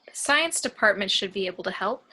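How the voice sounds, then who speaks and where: A woman's voice: mid-range voice, animated, brisk, bright, forceful tone. A woman playing an assertive spaceship crew member in an amateur table read of a sci-fi script. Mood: insistent.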